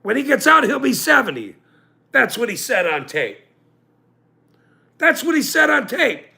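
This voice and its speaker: A man in his forties hosting a livestream, ranting angrily with profanity and loud outbursts, then joking about himself. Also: extremely high pitch, very animated, a little slow, creaky. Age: 40s. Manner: angry, profane, with loud outbursts and self-mocking laughs. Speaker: channel host. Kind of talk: livestream rant.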